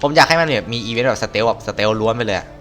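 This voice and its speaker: Fast-talking, bright-voiced man narrating gameplay. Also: mid-range voice, lively intonation, faint music behind. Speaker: game streamer. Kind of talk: casual playthrough commentary, reading dialogue aloud.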